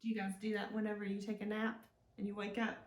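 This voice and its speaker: A woman leading a children's storytime as a librarian, reading aloud expressively and talking gently and simply to young listeners. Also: medium pitch, completely flat delivery, fast. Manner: gentle, simple, encouraging talk aimed at small children. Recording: roomy, echoing indoor sound.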